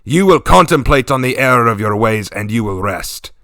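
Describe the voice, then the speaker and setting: A man voicing a stern, gruff warlord chieftain speaking to his daughters, grave and commanding. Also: mid-range voice, expressive, pushed and bright, no echo, clean studio sound. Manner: commanding, grave, slow and weighty.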